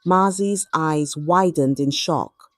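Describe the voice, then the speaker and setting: A woman narrating a scripted folktale in a dramatic, measured storytelling manner. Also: sits low, no echo.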